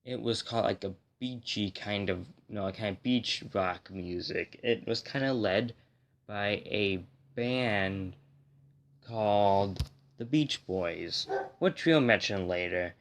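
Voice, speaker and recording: Male video host, lower register, slightly hoarse from a cold, informal and rambling explainer delivery. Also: slow, slight background noise. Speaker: host of a music history video series. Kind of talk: casual explainer talk to viewers.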